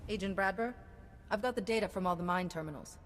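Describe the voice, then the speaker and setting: An actress playing a calm, self-assured female Jedi hero in a video game. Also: medium pitch, fast, slight background noise, lightly acted. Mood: steady. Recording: game audio with long reverb.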